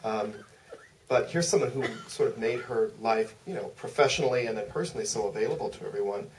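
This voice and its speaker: A man's voice: lowish voice, quick pace. A man moderating a Q&A, thinking aloud in long, hesitant, meandering sentences. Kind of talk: thoughtful, loosely phrased interview questions. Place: theater stage at a public Q&A. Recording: distant mic, faint room noise.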